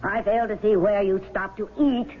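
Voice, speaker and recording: A woman's voice: medium pitch, fairly even intonation, moderate pace, lightly acted. A woman playing a stern, impatient court official in a 1970s radio drama, speaking precisely and formally. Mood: stern impatience. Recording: muffled, noisy old radio broadcast.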